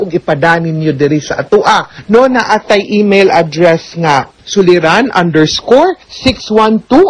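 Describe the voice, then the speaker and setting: A woman with a Cebuano Filipino accent, a lawyer hosting a radio advice show, explaining things conversationally and often checking in with listeners. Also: fairly low pitch. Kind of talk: radio legal advice talk.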